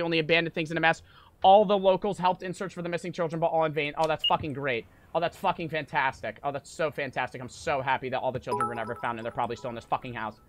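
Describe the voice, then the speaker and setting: A young man streaming a horror game, panicking, swearing and yelling in fright while reading notes aloud. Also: on the high side, dry recording. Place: small room at home. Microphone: desk mic on stand.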